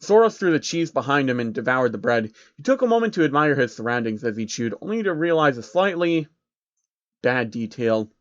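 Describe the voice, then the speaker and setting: Male story narrator, medium pitch, scripted comic reading, dry and slightly muffled sound. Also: sing-song, brisk, no echo.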